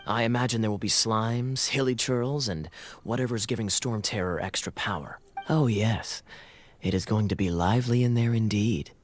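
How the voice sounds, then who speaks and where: A man playing a suave, teasing, confident knight in an anime game, with a smooth, theatrical, wryly amused delivery. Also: fairly low pitch, normal speed, close to an everyday voice. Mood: intrigued. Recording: game music behind.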